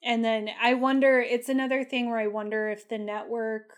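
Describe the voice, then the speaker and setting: A woman's voice: fairly high pitch, flat delivery, moderate pace, clear, resonant tone. A woman co-hosting a podcast, talking things through in a casual, thoughtful way with many "like" fillers. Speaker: podcast co-host. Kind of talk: casual analytical chat.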